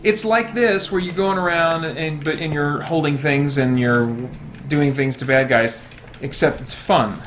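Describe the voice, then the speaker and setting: Casual male host, middle-aged, wry complainer, informal delivery, clear music bed underneath. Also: fairly high pitch, a little slow, fairly bright tone. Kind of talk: casual chat.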